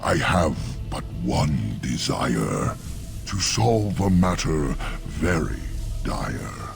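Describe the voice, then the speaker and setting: An actor voicing an ancient, courteous, mournful talking tree in a fantasy game, with a deep creaky voice and solemn, archaic rhyming verse. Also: male voice, very animated, extremely slow, music in the background, heavily acted.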